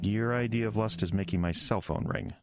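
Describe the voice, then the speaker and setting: Young pastor, played by a man: very low register, sincere and doubting, hesitant phrasing, radio drama dialogue. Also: background noise, lightly acted. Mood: firm, doubting. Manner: hesitant, earnest, halting. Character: earnest, skeptical young male church pastor.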